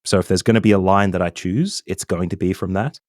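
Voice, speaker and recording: A man from Australia with a mild Australian accent, chatting casually as a podcast guest, relaxed, with lots of ums and self-corrections. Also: deep voice, brisk, no echo, studio quality. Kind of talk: casual interview chat.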